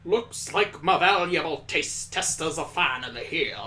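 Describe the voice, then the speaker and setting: A man voicing a big, jovial male baker in a visual novel, with a hearty, booming manner. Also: expressive, measured pace, bright, forceful tone, a little hiss or hum.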